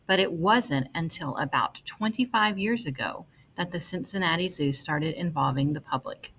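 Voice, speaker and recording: A woman reading an article aloud as scripted narration, with measured, deliberate phrasing. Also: sits low, fairly bright tone, husky.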